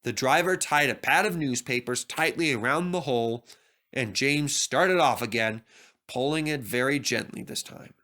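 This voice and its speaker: A man's voice: mid-range voice, moderate pace, bright, forceful tone. A man narrating a scripted children's story expressively as a storybook reader.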